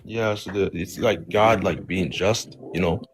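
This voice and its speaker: A man debating casually, speaking haltingly and padding his phrases heavily with filler words. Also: low-pitched, slight background noise. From Somali background. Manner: halting, heavy use of "like" and "you know". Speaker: debate participant.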